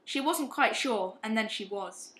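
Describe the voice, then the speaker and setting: A guilt-ridden young gentleman drinker voiced by a woman in an amateur radio play. The voice is high and bright in a roomy recording, halting and ashamed as it confesses. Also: animated. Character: guilt-ridden, heavy-drinking young upper-class man.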